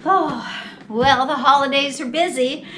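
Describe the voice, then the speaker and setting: High bright woman reading a storybook slowly. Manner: deliberate, animated, drawn-out phrasing. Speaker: children's storytime host reading a picture book. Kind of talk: slow expressive storybook narration for young children. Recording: distant mic, faint background noise.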